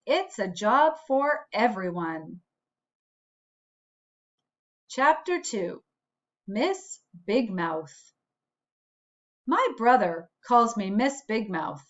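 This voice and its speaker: A woman reads aloud to young children like a school principal at story time. Her voice sits fairly high and swings expressively through each sentence. She takes it slowly, in clear teacherly phrases, on a slightly dull recording. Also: sing-song. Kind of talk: slow, expressive children's read-aloud with asides.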